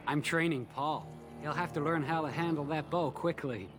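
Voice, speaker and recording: A man's voice: fairly high pitch, normal speed, lightly acted. An actor playing a proud, assured male elf hunter, stern but welcoming, in video game dialogue. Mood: matter-of-fact. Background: game music.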